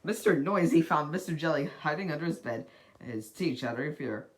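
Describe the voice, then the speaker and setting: A person reading a children's storybook aloud in a deliberate, dramatic way, as an amateur narrator. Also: mid-range voice, lively intonation, a little hiss or hum.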